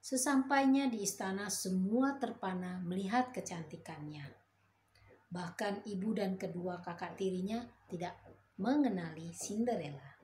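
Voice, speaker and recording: A woman narrates a fairy tale for children in a gentle, expressive storytelling manner. Her voice sits at a middle pitch and echoes around the room, with faint noise underneath.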